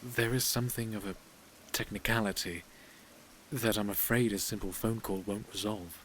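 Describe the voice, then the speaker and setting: Man acting Caleb, prim low-voiced mysterious gentleman. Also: fairly even intonation, a little hiss or hum, close to an everyday voice, rough recording. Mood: grave, hesitant. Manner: formal, measured, polite, evasive. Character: Caleb, prim, mysterious male business partner. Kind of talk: audio drama acting.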